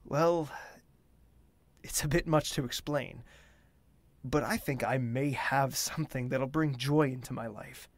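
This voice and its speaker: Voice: medium pitch, unhurried, no room sound, lightly acted. An actor playing a haughty, demanding young prince in an audio drama, with a clipped, formal, imperious way of talking. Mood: guarded contentment.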